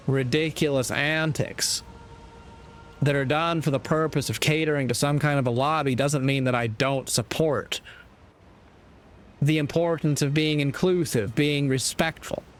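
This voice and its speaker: An American man giving a reflective opinion monologue in a measured, deliberate way, with short phrases and pauses. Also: fairly high pitch. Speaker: commentator.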